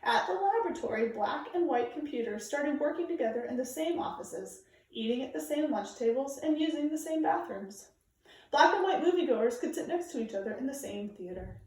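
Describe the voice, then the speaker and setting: A woman reading a children's nonfiction book aloud in a measured, emphatic way, phrasing it for young listeners. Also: high-pitched, expressive, a little mellow. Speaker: storybook reader. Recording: very echoey room, distant microphone.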